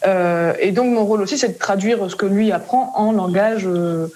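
A woman's voice: medium pitch, moderate pace, warm tone. A French woman being interviewed as a parent, explaining casually in colloquial speech that often trails off into tag words.

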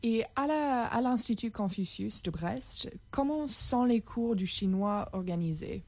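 Radio host lady, mid pitch, dry close studio sound, polite questions. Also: lively intonation, a little slow, darkish tone, no room sound. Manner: reads announcements, asks questions politely. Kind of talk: radio interview hosting.

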